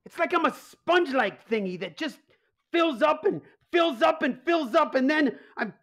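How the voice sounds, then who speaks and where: Man acting a harried mob boss, wildly swinging pitch. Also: lively intonation, normal speed, a bit bright, full character voice. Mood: anguished. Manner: exasperated, blustering. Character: harried, anxious mob boss father who secretly runs pizzeria. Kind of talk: comedic radio play acting.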